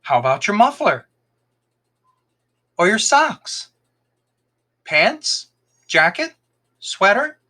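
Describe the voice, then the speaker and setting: Guy doing a little fox boy from a storybook, high and perky, polite and eager to please. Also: sing-song, drawn-out words, pauses often, bright, forceful tone, full character voice. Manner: cheerful, eager, courteous. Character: polite young fox cub disguised as shop boy.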